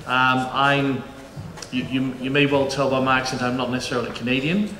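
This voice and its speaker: A middle-aged man a bit of in his vowels chats easily to a conference crowd, joking and restarting his sentences as he goes. His voice sits at an ordinary pitch for a man. It rings through an echoey auditorium over a faint hum of room noise. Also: fairly even intonation, fairly quick, bright, forceful tone. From United Kingdom. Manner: chatty, jokey, frequent restarts and fillers. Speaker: panel moderator. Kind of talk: conference presentation.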